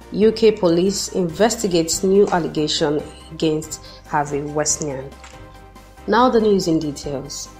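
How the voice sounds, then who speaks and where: A woman with a Nigerian accent reads the news in a measured, formal delivery. Her voice sits a little low for a woman. A faint music bed plays behind her. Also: darkish tone. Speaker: newsreader. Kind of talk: scripted news reading.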